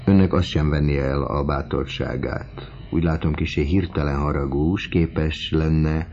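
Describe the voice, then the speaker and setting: A male reader voicing a mocking, menacing middle-aged schemer who talks loudly and teasingly. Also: a bass voice, steady tone, a little hiss or hum. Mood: smooth. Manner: teasing, loud and sardonic. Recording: dull lo-fi audiobook recording.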